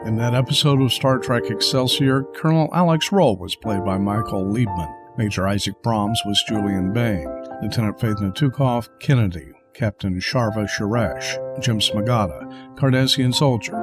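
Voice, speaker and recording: A man's voice: on the low side, moderate pace. A man giving scripted narration as the announcer of an audio drama. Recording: music behind the voice.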